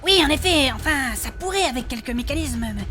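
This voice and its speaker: Woman as a high, bright-voiced, earnest female adventurer. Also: expressive, a little fast, faint music behind. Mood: thoughtful. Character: earnest, peacemaking female adventurer in armour, thoughtful puzzle-solver. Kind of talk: comic fantasy audio drama acting.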